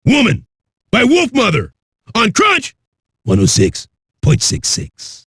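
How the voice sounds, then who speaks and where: A foul-mouthed, hyped-up rock radio DJ for a video game. His voice is raspy and very high for a man, with a forceful edge. He swaggers through every line like an aggressive party host, in a close, dry sound. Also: huge pitch swings, slow pace, no echo, heavily acted. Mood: rowdy excitement. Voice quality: raspy, gravelly. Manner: aggressive, rowdy, swaggering delivery.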